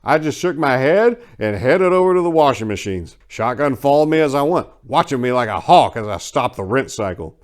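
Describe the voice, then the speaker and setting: Animated, sardonic male storyteller. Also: on the high side. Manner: sardonic, exasperated, theatrical. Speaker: narrator reading a short story. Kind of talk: dramatic first-person storytelling.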